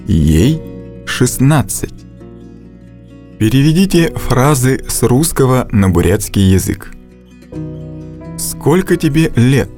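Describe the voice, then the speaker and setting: A man reading scripted narration for a language course, with slow, deliberate instructional delivery and a close studio mic. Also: mid-range voice, sing-song, dark tone, soft background music.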